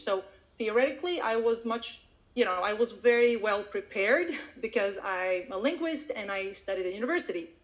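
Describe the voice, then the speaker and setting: High-voiced, chatty woman. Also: low-quality recording. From Croatia. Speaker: language teacher. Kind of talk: casual personal storytelling at a live online event.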